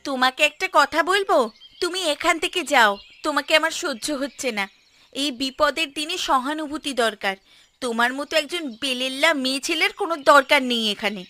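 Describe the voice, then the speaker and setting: A woman's voice: high-pitched, a little fast, pushed and bright, soft background music. A woman voicing a fretting, scolding village housewife in a cartoon. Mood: indignant. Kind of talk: cartoon voice acting.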